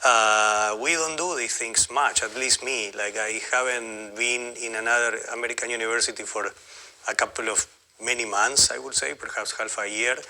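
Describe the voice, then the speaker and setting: A man from Argentina with an Argentine Spanish accent, giving a spontaneous academic lecture in a halting, discursive way with frequent self-corrections. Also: mid-range voice, fairly even intonation, sharp tone. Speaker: guest lecturer, academic historian.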